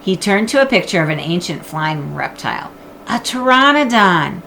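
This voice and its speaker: A woman narrating a children's book in an expressive storytelling style. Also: fairly low pitch, moderate pace, creaky, slight background noise. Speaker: audiobook reader.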